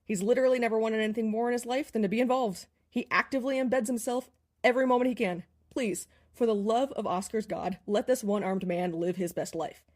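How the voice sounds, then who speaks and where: A woman's voice: a little high, a little fast, darkish tone. A woman giving emphatic, casual fan commentary in a listener voice submission.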